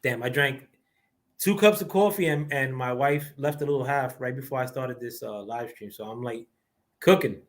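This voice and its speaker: A man with a squeaky voice ranting casually and profanely on his live stream, rambling with many fillers. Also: normal speed. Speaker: livestream host. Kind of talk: casual boxing rant.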